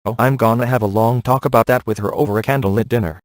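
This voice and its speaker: Actor as a wisecracking deadpan ghost hunter, dry recording. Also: male voice, mid-range voice, quick pace, no echo, close to an everyday voice. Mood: sardonic. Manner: deadpan, sarcastic. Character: wisecracking, smug male ghost hunter in a comic adventure. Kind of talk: video game voice acting.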